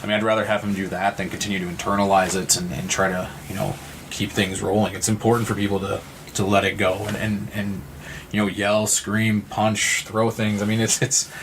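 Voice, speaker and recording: A man with a lowish voice and very even intonation talks in a reflective podcast discussion. He is halting and repeats words as he works out his thought, with faint noise behind him. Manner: halting, repeats words while thinking aloud. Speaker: podcast participant.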